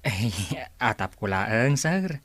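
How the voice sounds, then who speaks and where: A man's voice: lowish voice, animated, slow, a bit bright, no room sound, heavily acted. An actor playing a deferential, concerned aide advising his boss in an Ilocano radio drama.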